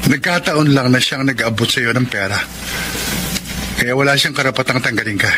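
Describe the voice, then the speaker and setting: A man's voice: mid-range voice, normal speed, background noise, lightly acted. A man playing a devoted, strong-willed single father in love in a radio drama, speaking earnestly and emotionally. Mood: firm, reassuring. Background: loud music.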